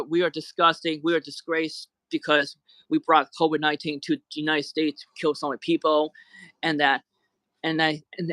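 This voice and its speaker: A man's voice: on the high side, sharp tone, no echo. A man hosting a livestream who talks in an angry rant, often repeating words and restarting his sentences. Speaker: livestream host.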